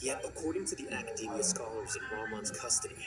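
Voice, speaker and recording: Man acting a cool-headed young scholar, loud music. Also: on the low side, lively intonation, fast, close to an everyday voice. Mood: calm, calculating. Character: calm, rational, aloof young male scholar strategist. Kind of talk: video game cutscene acting.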